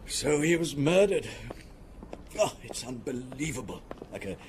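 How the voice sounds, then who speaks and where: An actor playing a shaken, squeamish gentleman in a detective audio drama, polite and faltering. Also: mid-range voice, sing-song, a little slow, a little hiss or hum. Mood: shaken, disbelieving. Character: shaken, squeamish gentleman colleague of the dead man.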